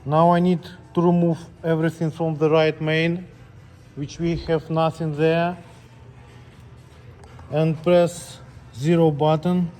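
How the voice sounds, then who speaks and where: Higher-voiced man giving step-by-step instructions, mellow tone. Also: little pitch movement, slow, pauses often, faint music behind. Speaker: scale technician demonstrating calibration. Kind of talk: hands-on tutorial, unscripted step-by-step instructions.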